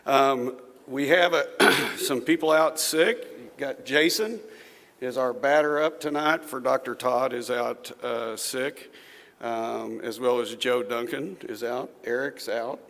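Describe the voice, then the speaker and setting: A man chairing a school board meeting, his voice a little raspy from a cold, mixing relaxed jokes with formal procedure. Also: medium pitch, takes it slowly. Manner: relaxed, joking asides. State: slightly raspy from illness. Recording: reverberant meeting hall.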